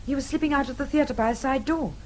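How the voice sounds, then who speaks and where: A woman's voice: high voice, quick pace, darkish tone, lightly acted. An actress playing an insistent young Englishwoman in a British radio drama, speaking emphatically and precisely with an English accent. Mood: firm, defiant. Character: insistent young Englishwoman, eyewitness who will not be doubted.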